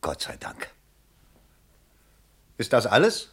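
Frantic bourgeois schemer, wildly swinging voice. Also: male voice, medium pitch, wildly swooping pitch, slow pace, fairly bright tone, slightly rough, heavily acted. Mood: nervous, suspicious. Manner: theatrical, exclamatory, swinging from muttering to cries. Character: frantic, scheming, overwrought male bourgeois election candidate. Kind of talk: 1960s German radio play acting.

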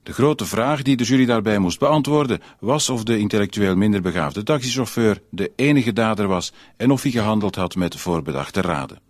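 Radio-drama news reader. Low pitch, dry acoustic, sober, factual, formally scripted delivery. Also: male voice, animated, moderate pace, dry recording. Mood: matter-of-fact. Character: formal, matter-of-fact male news reader reporting a trial.

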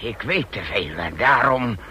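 A defiant, menacing male pilot in a radio play. His delivery is very piercing and slow, with big swings in pitch. The old recording is slightly noisy. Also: expressive, bright, forceful tone. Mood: bitter, menacing. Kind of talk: radio drama acting.

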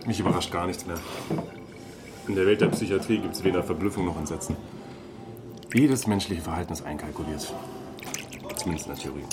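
An actor in a radio drama playing a weary, dry-witted young doctor with a laconic way of talking. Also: male voice, sits low, brisk, long pauses, over loud music, lightly acted. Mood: dry, jaded. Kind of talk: naturalistic German radio drama.

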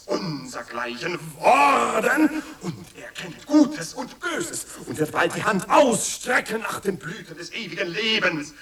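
An exalted orator, very high in pitch and swinging widely. He declaims chopped insect names in experimental music theatre, heard from far off in an echoey space. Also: heavily acted. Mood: solemn. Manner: declamatory, chopped Latin names, sudden shouts. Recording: echoey room, distant from microphone.

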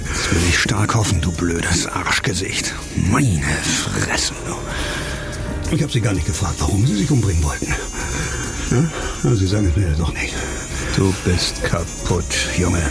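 An actor playing a disgraced doctor fresh out of prison in a radio crime drama, sardonic, blunt and intense. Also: male voice, deep voice, lively intonation, moderate pace, creaky, over music. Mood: gruff, muttering. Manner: probing, blunt, mixing wry irony with exasperation.

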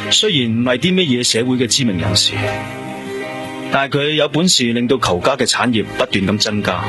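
An actor with a Hong Kong accent playing a businesslike police detective who questions methodically, in a radio drama. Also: male voice, mid-range voice, close to an everyday voice. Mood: matter-of-fact narration. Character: male police detective investigating a murder, probing and businesslike. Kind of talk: radio drama acting and narration.

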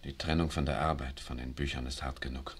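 An actor playing a bitter, pessimistic young husband and scholar in a 1960s radio drama. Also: male voice, a bass voice, steady tone, brisk, a little hiss or hum. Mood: weary. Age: young adult.

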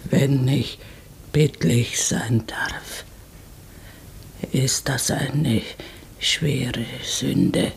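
Dying old pilgrim, German radio play. Extremely slow, very creaky, weak and deferential. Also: female voice, very deep voice, fairly even intonation, whispered, exaggerated voice. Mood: anxious, remorseful. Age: older. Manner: halting, deferential. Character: frail, dying, humble old pilgrim woman.

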